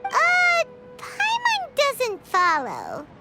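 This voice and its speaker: A woman voicing a small, cheeky, childlike fairy companion in a video game, exclaiming brightly. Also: extremely high pitch, wildly swooping pitch, drawn-out words, exaggerated voice. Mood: confused. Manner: refers to self in third person, exclamatory. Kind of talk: animated video game voice acting. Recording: music behind the voice.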